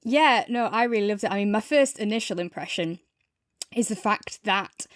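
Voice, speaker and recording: A woman's voice: mid-range voice, no room sound, clean studio sound. A woman co-hosting a review podcast, speaking in an enthusiastic, conversational way. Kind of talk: casual review chat, some reading aloud.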